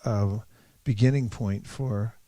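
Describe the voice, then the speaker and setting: A man leading a reflective group discussion in a thoughtful, halting way, often correcting himself as he goes. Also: on the low side, dark tone, no echo. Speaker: discussion leader at a scripture class.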